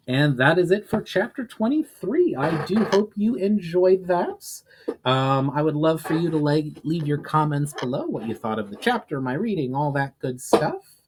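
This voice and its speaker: A man's voice: fairly high pitch, slight background noise, poor audio. A man giving a dramatic scripted narration of a fantasy story as a storyteller. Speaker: author and storyteller.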